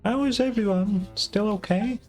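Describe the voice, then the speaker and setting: A man voicing a small, chatty, excitable fairy companion, reading out video game dialogue in a chirpy, questioning way. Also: sits high, normal speed, soft background music, natural voice. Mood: worried, fussing.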